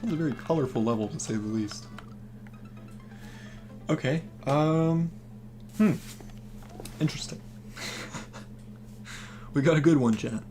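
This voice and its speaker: A young man with a naturally hoarse voice, casually commenting on a game he plays while reading and answering his chat. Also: a little slow, pauses often, warm tone, soft background music. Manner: low-key, mumbling, encouraging. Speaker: game streamer.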